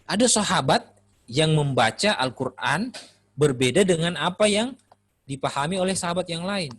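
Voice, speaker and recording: A man giving an Islamic studies lecture in a relaxed, conversational way, often pausing with "eh" while he thinks. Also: fairly high pitch, no echo. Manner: relaxed, conversational, frequent "eh" pauses. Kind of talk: classroom lecture.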